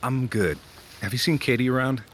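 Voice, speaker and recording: A man's voice: medium pitch, brisk, fairly bright tone, close to an everyday voice. An actor playing a weary, troubled man, terse and blunt, in a first-person audio drama. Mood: tired, guarded. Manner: terse, plain-spoken. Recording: background ambience and noise.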